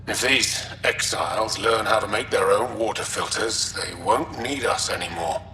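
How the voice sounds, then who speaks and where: A man's voice: fairly low pitch, normal speed, pushed and bright. A man playing a wary, scornful alien settler recording an audio log in a video game. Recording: roomy, distant log playback, faint noise.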